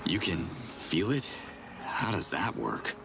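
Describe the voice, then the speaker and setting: Deep-voiced wisecracking game hero. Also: male voice, very animated, slow pace, creaky, background noise, full character voice. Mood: probing. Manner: sarcastic, casual, cocky. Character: wisecracking human man, brash heroic outsider. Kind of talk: video game cutscene acting.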